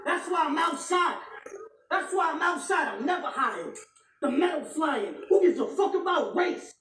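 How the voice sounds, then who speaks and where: Woman battle rapper, high and shouty, caught echoey and off-mic at a live venue. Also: lively intonation, moderate pace, far from the mic. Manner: aggressive, shouting taunts, repeating lines. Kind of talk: battle rap performance.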